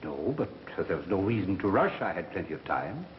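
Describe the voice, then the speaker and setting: An actor playing an evasive, indignant doctor under suspicion in a 1930s film, hesitant and defensive. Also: male voice, deep voice, very animated, fairly quick. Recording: echoey, noisy, muffled 1930s film soundtrack.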